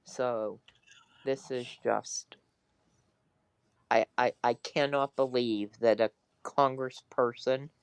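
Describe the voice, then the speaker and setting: A woman hosts a casual political discussion in a smooth, clear voice. She speaks very slowly and deliberately, with halting phrasing, and the sound is dry. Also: extremely low pitch, dry recording. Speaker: talk show host.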